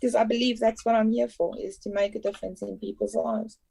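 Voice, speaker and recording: A South African woman whose first language is Afrikaans speaks in a slightly high voice. She chats casually as a guest answering podcast questions. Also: steady tone, a little fast, creaky, mellow. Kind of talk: casual interview chat.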